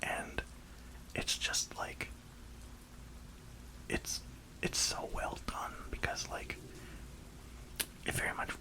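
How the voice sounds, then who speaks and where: A reviewer whispering an enthusiastic, rambling ASMR film review in a breathy, unvoiced voice. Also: slow, pauses often, rough recording. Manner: enthusiastic, rambling, emphatic repetition.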